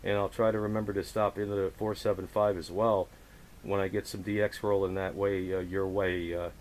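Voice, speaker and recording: A low-pitched man chats casually over the radio as an operator running the frequency. He is chummy and halting, often feeling for his next word. A light static hiss sits around his voice. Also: flat delivery, darkish tone. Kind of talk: casual radio contact chat. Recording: hissy, noisy radio recording.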